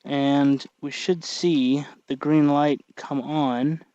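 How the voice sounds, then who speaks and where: A man's voice: a little high, steady tone, dark tone, dry recording, muffled recording. Man talking through hands-on work, dry sound. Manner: halting, thinking aloud while working. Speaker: tutorial host. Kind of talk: casual hands-on tutorial narration.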